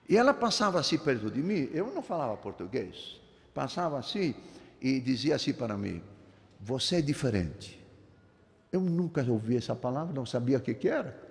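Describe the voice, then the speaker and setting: An older man in his seventies preaches in a slow, deliberate way, with a voice that sits somewhat high for a man. He is emphatic, dropping to soft low phrases and then lifting into high, ringing exclamations. His voice rings out with a long reverb in a church sanctuary. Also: vocal fry. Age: 70s. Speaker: pastor. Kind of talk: sermon.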